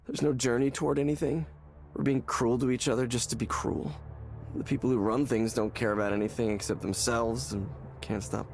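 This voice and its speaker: An actor playing a despairing, hollow man in a visual novel game, speaking haltingly and repeating words as he searches for them. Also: mid-range voice, darkish tone, a little hiss or hum, close to an everyday voice. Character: despairing, hollow man confiding in a counseling session.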